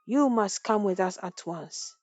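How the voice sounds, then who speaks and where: A woman voicing a village chief's servant in an animated folktale, hasty, alarmed and urgent. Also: mid-range voice, unhurried, dry recording, soft background music.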